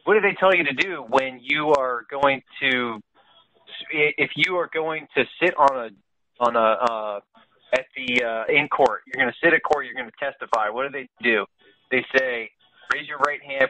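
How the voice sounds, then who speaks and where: A man's voice: medium pitch, moderate pace, bright, forceful tone, low-quality recording. A man in an informal political discussion on a conference call, explaining his points and often checking that listeners follow. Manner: explanatory, asks check-in questions like "you know?".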